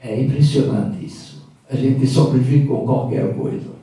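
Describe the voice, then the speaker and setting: Older guy w telling his story, dark mellow voice, far off in a big echoey room. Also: mid-range voice, fairly even intonation. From Hungary. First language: Hungarian. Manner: reflective, halting with fillers. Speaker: guest speaker. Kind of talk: personal storytelling talk. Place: event hall. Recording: echoey room from a distant mic.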